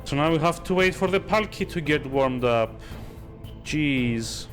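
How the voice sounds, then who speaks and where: Slow-talking sleepy man streaming a game. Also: fairly high pitch, animated. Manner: sleepy, bored, halting delivery. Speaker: streamer playing a video game. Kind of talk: casual live game commentary. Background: game music.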